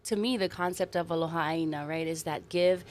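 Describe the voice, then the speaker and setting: A woman's voice: on the low side, brisk, slight background noise. Earnest woman from Hawaii, chatty. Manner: warm, earnest, animated storytelling with soft laughs. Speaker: singer-songwriter guest. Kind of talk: casual interview chat.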